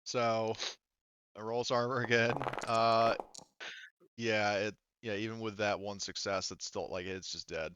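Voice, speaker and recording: A man working as game master, narrating a tabletop roleplaying game casually and haltingly as he thinks aloud over the dice. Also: on the low side, little pitch movement, fairly bright tone, clean tone, no echo. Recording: slightly muffled dry voice recording.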